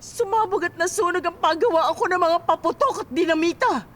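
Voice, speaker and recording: A woman acting in a Filipino radio drama as a greedy, hot-tempered grown daughter, sharp, bitter and defensive. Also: extremely high pitch, a little fast, slight background noise. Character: greedy, defiant, hot-tempered adult daughter, illegal business owner.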